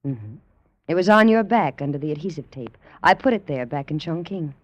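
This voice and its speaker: A woman's voice: low-pitched, lively intonation, fairly quick, no echo. An actress playing a composed, coaxing woman doctor and secret agent in an old radio drama, soothing and assured. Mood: reassuring. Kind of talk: 1940s-50s radio drama acting. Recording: dull, dry vintage radio recording.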